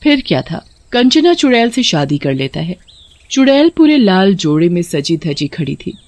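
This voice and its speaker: A woman narrating a scripted horror story in a steady storytelling voice. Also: normal speed, dry recording. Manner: steady storytelling, dropping lower for eerie moments.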